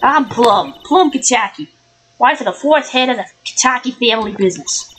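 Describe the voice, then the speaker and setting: A woman's voice: fairly high pitch, moderate pace, a bit bright, slight background noise, lightly acted, rough recording. Woman playing a haughty, menacing crime-family matriarch. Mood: proud.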